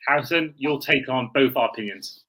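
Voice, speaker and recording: A man's voice: mid-range voice, fairly quick, pushed and bright. A man hosting a sports podcast in a casual, conversational way. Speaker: podcast host. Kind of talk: casual sports talk, introducing callers.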